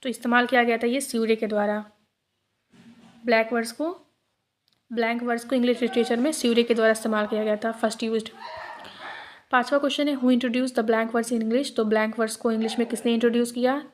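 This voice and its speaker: A woman talks through exam questions like a teacher, reading each one out slowly before she explains it. Her voice sits a little high and barely moves in pitch. A faint hiss of noise runs under her speech. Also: steady tone. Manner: reads English questions slowly, then explains in Hindi. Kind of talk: exam-prep lesson reading out multiple-choice questions.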